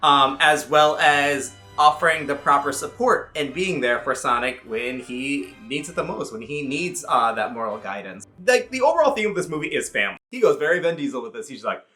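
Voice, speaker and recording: A man in his thirties giving a casual, comedic movie review, rambling excitedly with swearing and jokey asides. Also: fairly high pitch, brisk, few pauses, faint music behind. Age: 30s.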